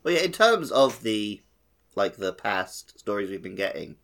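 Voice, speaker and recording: A man's voice: lowish voice, big pitch swings, measured pace, creaky, fairly bright tone. A man co-hosting a gaming podcast in a casual, jokey, conversational style. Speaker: podcast co-host.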